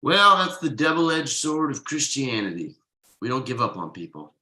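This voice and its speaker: A man's voice: medium pitch, very animated, normal speed, bright, forceful tone. Man reading as a dryly sarcastic husband, echoey room. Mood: patient, wry. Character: forbearing husband and father, mild, drily sarcastic. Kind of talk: conversational table read of a comedy script. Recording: roomy, echoey online video call.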